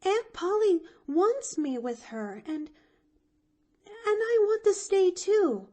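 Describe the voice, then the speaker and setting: Earnest stammering little girl, very high and lively, voiced by a woman reader. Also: slow, heavily acted. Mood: pleading eager. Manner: stammering, breathless and pleading. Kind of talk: audiobook character voice.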